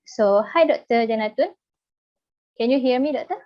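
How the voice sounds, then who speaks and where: A woman's voice: fairly high pitch, moderate pace. Upbeat woman moderating a webinar. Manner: upbeat, reads speaker bios. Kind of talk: formal introductions. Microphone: video call. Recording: lo-fi video call audio.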